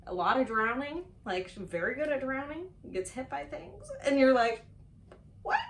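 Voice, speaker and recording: A woman's voice: sits high, expressive, normal speed. A woman chatting casually to camera as a book reviewer, playful and self-mocking, stretching words for dramatic emphasis. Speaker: book reviewer on a video channel.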